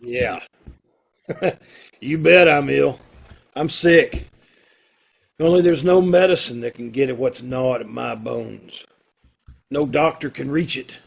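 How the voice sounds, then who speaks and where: A man acting a brooding drifter in a radio play, his voice raspy and full of gravel. He talks in blunt slang like a tough guy who has given up on himself. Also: mid-range voice, slow, pauses often, exaggerated voice. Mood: agitated, despairing. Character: brooding drifter in love, doomed, blunt and self-loathing. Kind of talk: old time radio drama acting.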